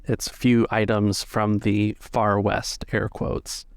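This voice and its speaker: A man's voice: lowish voice, flat delivery, slow, creaky, dry recording, studio quality. A man improvising scene narration as game master of a tabletop roleplaying game.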